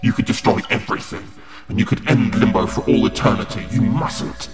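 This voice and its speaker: A man's voice: fairly low pitch, a little fast, natural voice. A man playing a cruel, gloating creature of limbo in an audio horror drama, with a menacing, mocking and theatrical manner. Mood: threatening. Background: loud dramatic music.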